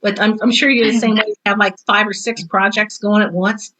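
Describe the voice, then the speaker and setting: A woman in her sixties with a bright, crisp-edged voice at a medium pitch. She talks casually, as on a podcast, and animatedly voices the little quotes in her stories. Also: little pitch movement, fairly quick, low-quality recording. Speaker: retired teacher and author talking on a podcast. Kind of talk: casual conversational podcast chat.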